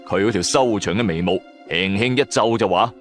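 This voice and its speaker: A man's voice: lowish voice, moderate pace. A man with a Cantonese accent narrates a supernatural story in the first person, in a dramatic and colloquial way. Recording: music bed under the voice. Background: background music.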